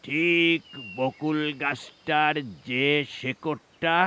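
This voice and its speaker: An actor playing an earnest old male bird in a children's cartoon, speaking haltingly and insistently, repeating himself. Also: fairly high pitch, steady tone, pushed and bright, a little hiss or hum, exaggerated voice. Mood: grave, warning. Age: older. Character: earnest old male bird, slow-spoken elder of the flock. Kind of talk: children's animated fable acting.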